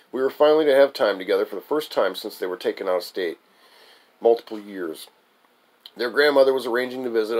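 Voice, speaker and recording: A man's voice: lowish voice, darkish tone, low-quality recording. A man reading his own memoir aloud as a wry, amused storyteller. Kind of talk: memoir recitation, narrated reading.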